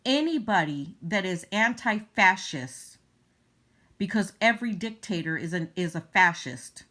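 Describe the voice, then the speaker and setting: A woman vlogger giving unscripted political commentary in a halting, emphatic way. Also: lowish voice, expressive, pushed and bright.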